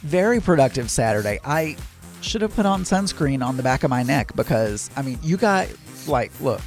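A man's voice: medium pitch, big pitch swings, normal speed, faint music behind. A man with a Southern accent chatting casually to viewers, rambling and often correcting himself mid-sentence. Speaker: vlogger. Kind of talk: casual chat.

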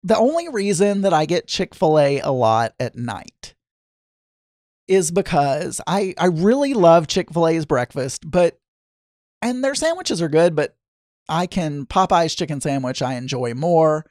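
A man with a hoarse, crackly voice, hosting a podcast in a rambling, chatty, digressive way with some self-deprecating asides. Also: a little high, expressive, unhurried, darkish tone, no room sound, studio quality. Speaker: podcast host. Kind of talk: rambling casual chat.

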